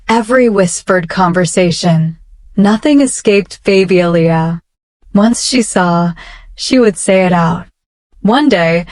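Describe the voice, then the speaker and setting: Female storyteller for children's bedtime tales, slow and deliberate, medium pitch, very dry close recording. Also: dry recording.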